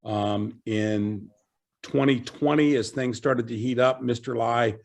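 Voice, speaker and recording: A man's voice: mid-range voice, slow, vocal fry. A middle-aged man from Virginia, a panelist giving a casual, rambling self-introduction over a video call. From Virginia, USA. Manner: relaxed, rambling with many fillers.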